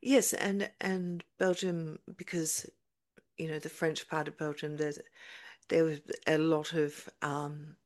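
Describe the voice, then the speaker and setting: Woman interviewer, low voice, slow and thoughtful; restates before asking. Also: little pitch movement, darkish tone. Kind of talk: interview questions.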